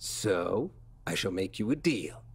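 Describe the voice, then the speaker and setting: A man playing an unctuous, quietly threatening school official, speaking formally and theatrically in a video game. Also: deep voice, animated, vocal fry, breathy edge, heavily acted. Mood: veiled threat. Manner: formal, measured, insinuating.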